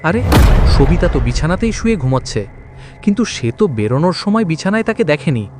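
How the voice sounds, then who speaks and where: A man's voice: fairly high pitch, sing-song, a little fast, heavy background noise. A man narrating a scripted horror story in a dramatic storytelling style.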